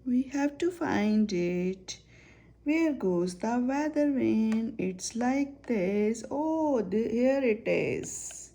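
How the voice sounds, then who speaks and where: A woman's voice: on the high side, lively intonation, extremely slow, mellow. A woman reading a children's search-and-find picture book aloud, naming items one by one and asking simple questions.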